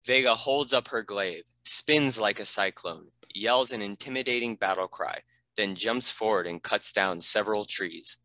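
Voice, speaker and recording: A man narrates stage directions from a script. His voice is fairly low yet bright and crisp, captured close in a dry space. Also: moderate pace, dry recording, rough recording.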